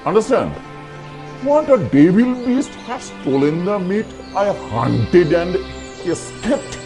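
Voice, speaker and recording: A man's voice: high-pitched, big pitch swings, takes it slowly, heavily acted. A man voicing a greedy, easily outraged tiger uncle in a children's cartoon, theatrical and blustering. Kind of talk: children's cartoon character dialogue. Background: music behind the voice.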